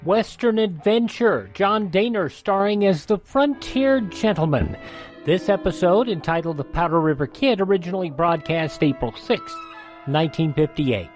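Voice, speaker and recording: A man's voice: sits high, expressive, normal speed. Male radio host, faint music behind. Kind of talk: scripted radio narration.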